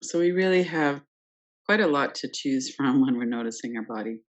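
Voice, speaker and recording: A woman teaching guided meditation, speaking calmly and gently in short phrases with pauses between them. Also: rumbling low. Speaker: yoga nidra meditation teacher. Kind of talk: guided meditation, unscripted instruction.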